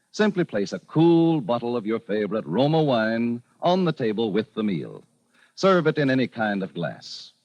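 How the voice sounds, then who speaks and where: A man's voice: mid-range voice, dry recording, highs cut off. A man reading a 1940s radio advertisement as a polished, emphatic announcer. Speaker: commercial announcer.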